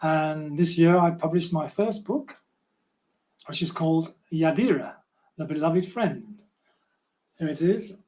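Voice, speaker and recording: An older man reading a children's storybook aloud in a gentle, unhurried way. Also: fairly high pitch. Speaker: children's author reading own book.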